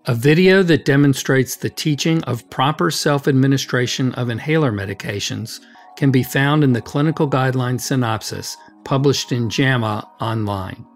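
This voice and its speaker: A man's voice: medium pitch, moderate pace, soft background music. A man hosting a medical podcast, putting formal questions to a guest. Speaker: podcast host, physician.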